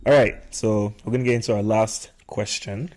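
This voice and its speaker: A man's voice: fairly low pitch, steady tone, normal speed, a little mellow. A young adult man hosting a podcast in a casual conversational style. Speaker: podcast host.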